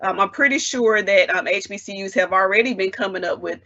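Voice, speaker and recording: A woman with a bright, tense voice moderates a panel over dull, lo-fi call audio. She asks long, winding questions and pauses on "um" again and again. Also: mid-range voice.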